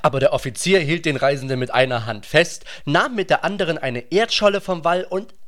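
A man's voice: a little high, fairly quick, fairly bright tone. A man reading scripted literary narration as a narrator in a German radio play.

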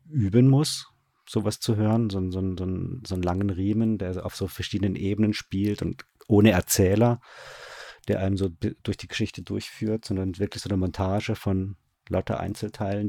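A man's voice: low-pitched, fairly even intonation, normal speed, creaky, mellow, dry recording, clean studio sound. A man answering interview questions as a radio drama director, conversational and often searching for words with repeated starts.

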